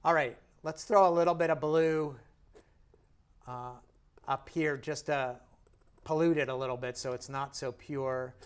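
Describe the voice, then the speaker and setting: A man teaching painting as he works, chatty and self-deprecating, his voice a little raised in pitch. He sounds slightly hoarse now and then. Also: fairly even intonation, measured pace, pauses often. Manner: chatty, self-deprecating and jokey while working; talks while painting and stepping back from the canvas. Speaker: painting instructor. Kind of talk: casual painting demonstration.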